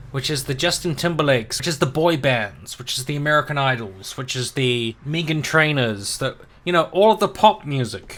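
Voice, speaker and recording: A man's voice: a little high, fairly bright tone. A man from New Zealand with a New Zealand accent, ranting in a casual video response, sarcastic, emphatic and freely profane. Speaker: video commentator.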